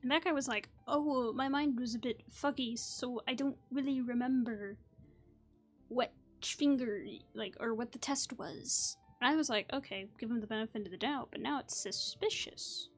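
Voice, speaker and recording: Chatty woman commentating, slightly high voice. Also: normal speed, faint music behind. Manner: chatty, informal, mimicking characters. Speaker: game streamer. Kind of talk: casual playthrough commentary.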